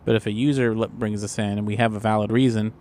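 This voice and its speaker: A man's voice: fairly low pitch, steady tone, fast, a little mellow, slight background noise. A man chatting casually as a player in a tabletop role-playing game, working out plans. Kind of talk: casual game planning chat.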